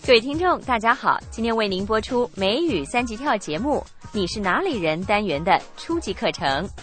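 A woman's voice: medium pitch, big pitch swings, fairly quick, music in the background. A woman co-hosting a scripted radio language lesson, explaining in a chatty way into a studio mic.

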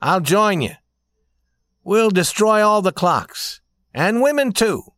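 Male reader doing troubled young guy in a story, wry n bitter, kinda high, super up and down. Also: big pitch swings, takes it slowly, fairly bright tone, dry recording, heavily acted. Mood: mocking.

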